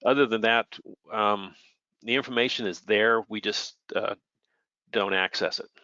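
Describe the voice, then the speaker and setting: A man's voice: lowish voice, fairly even intonation, a little slow, bright, forceful tone, dry recording, lo-fi sound. A plainspoken, matter-of-fact man giving an informal technical explanation as an expert air traffic controller.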